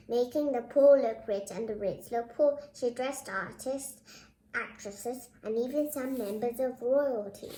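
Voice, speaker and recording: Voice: medium pitch, warm tone. A child host reading a picture book aloud, addressing viewers directly in a presenterly way. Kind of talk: read-aloud storybook narration with brief asides. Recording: roomy, echoing indoor sound.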